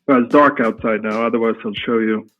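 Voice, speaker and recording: A middle-aged man from Sweden with a Swedish accent, speaking English as a guest in an unhurried, halting way with many restarts. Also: mid-range voice, steady tone, faint music behind. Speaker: guest, company founder. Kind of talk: casual interview answers. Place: home room at night. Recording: tinny phone-like call line.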